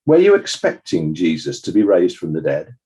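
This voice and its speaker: A man playing a formal, probing examiner who puts questions in a measured, official way in a dramatized inquest. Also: low voice, huge pitch swings, dark tone.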